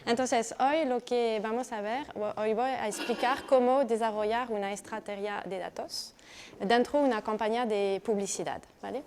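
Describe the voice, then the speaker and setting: A woman from France with a French accent, giving a conference talk in a measured, explanatory way, often hesitating and checking in with her audience. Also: a little high, darkish tone, slight background noise. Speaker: speaker.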